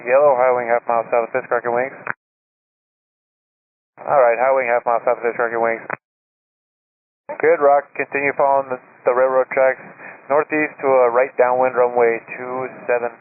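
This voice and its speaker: A man's voice: mid-range voice, level tone, moderate pace, long pauses. A man working as an air traffic controller, giving clipped, directive instructions over an aviation radio. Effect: aviation radio transmission. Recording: tinny narrowband aviation radio with static. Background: clear radio noise.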